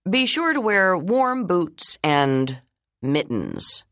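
A friendly, good-humored school principal giving the morning announcements, slow and measured, in a low voice that swings widely in pitch, as a woman reads him. The sound is close and dry, with no room around it. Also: big pitch swings, fairly bright tone, no room sound, exaggerated voice. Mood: announcing. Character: kind, funny male school principal on intercom.

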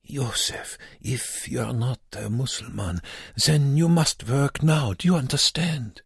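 A bitter male prisoner in an audiobook, played by a man. His voice is rough and dry, raised a little above a low register. He goads and taunts with hard urgency. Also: expressive, takes it slowly, dry recording, full character voice. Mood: urgent. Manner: taunting, urgent, sometimes whispered. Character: bitter, goading male concentration camp prisoner. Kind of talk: audiobook character voice.

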